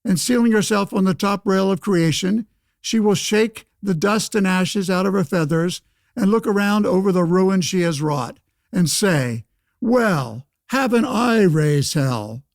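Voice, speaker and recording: An actor playing a blustering, pompous old-time senator in a radio play, declaiming theatrically like a stump orator. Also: male voice, high voice, measured pace, gravelly, dry recording, studio quality. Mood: bombastic doom. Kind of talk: old-fashioned political oratory, pseudo-radio play acting.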